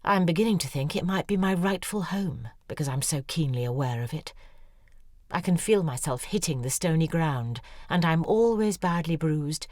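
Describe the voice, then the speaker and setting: An actress playing a sharp-tongued woman novelist telling her past in a wry, self-mocking way. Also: deep voice, expressive, normal speed, no room sound, studio quality. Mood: wry storytelling. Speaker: lead character in audio drama. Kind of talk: dramatic monologue, audio drama acting.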